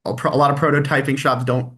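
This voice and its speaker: A man's voice: mid-range voice, flat delivery, fast talker, fairly bright tone, slightly rough. A man being interviewed, talking about his work in a friendly, rambling way and laughing easily. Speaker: guest, tribute performer and engineer. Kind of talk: casual interview chat.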